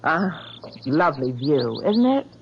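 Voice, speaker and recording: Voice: medium pitch, sing-song, slow pace. An actor playing a polite, eager-to-please real estate agent in a 1970s radio drama. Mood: obliging. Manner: polite, obliging. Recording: dull, noisy lo-fi radio tape.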